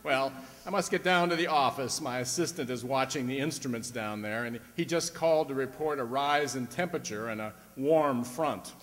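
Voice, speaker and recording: A man's voice: mid-range voice, moderate pace, fairly bright tone, long reverb tail, slight background noise, natural voice. A man playing a polite, fussy, proud and hapless weatherman in an old-time radio comedy. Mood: genial.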